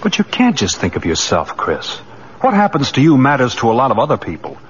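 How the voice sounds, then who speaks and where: A man's voice: medium pitch, wildly swooping pitch, brisk, slightly rough. A man playing an earnest, loyal lawyer in a 1940s radio drama, on a muffled old recording. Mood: earnest, concerned. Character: earnest, resourceful male lawyer, loyal friend.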